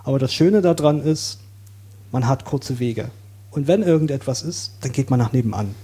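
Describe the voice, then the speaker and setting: A man from Saxony, a guest on an interview podcast, explaining his views in a thoughtful, measured way. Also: mid-range voice, expressive, warm tone, breathy edge. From Saxony, Germany.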